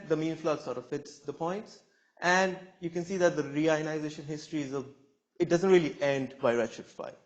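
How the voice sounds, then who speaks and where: A man in his twenties, a student researcher presenting a scientific talk at a conference in a lecture room. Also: mid-range voice. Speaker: PhD student speaker.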